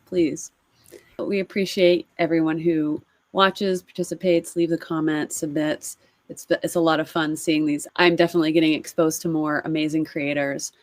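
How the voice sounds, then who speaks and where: Friendly woman cohost, lowish voice, chatty, dry close mic. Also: no room sound. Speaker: podcast co-host. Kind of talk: casual chat reacting to videos.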